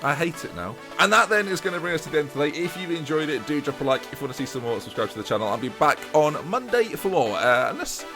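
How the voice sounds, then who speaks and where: A young man giving chatty, excitable commentary over a video game, often tripping over his words and joking at himself. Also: on the high side, quick pace, few pauses, music in the background. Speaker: gaming YouTuber. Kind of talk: casual let's-play commentary.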